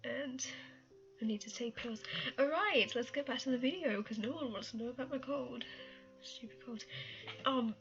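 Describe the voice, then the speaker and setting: Young woman, cold-stuffed, rambling and self-deprecating, slightly high voice, muffled lo-fi mic. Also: normal speed, long pauses, a bit bright, faint music behind. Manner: rambling, self-conscious, laughs and apologizes often. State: has a cold. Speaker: art tutorial vlogger. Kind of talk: casual screen-recorded tutorial.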